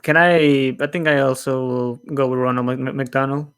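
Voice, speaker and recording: Smooth clear voiced guy on a podcast, casual, kinda halting and unsure. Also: mid-range voice, steady tone, fairly bright tone. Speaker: podcast panelist. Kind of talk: casual chat.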